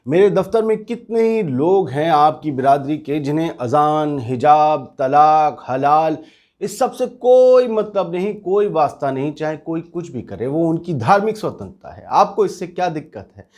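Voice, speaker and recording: A man's voice: a little high, expressive, moderate pace, a little mellow, natural voice. A man acting as a reasonable office worker in a satirical drama, questioning and challenging in an earnest, sardonic way. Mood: reasoning. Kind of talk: scripted satirical dialogue.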